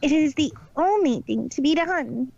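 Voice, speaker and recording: A woman's voice: sits high, lively intonation, normal speed, vocal fry, a little mellow. A woman playing a boastful, theatrical unicorn magician who speaks of herself in the third person, in a fan story reading. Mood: dramatic resolve. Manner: haughty, theatrical, hammy. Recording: dull lo-fi home recording.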